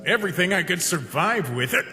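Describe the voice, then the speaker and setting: A man voicing an awkward, excitable young skeleton monster in a comic dub, hesitant and casual, prone to exclaiming. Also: high voice, fairly quick. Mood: confused, sheepish. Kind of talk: dramatic comic dub voice acting. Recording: long hall reverb, prominent music behind.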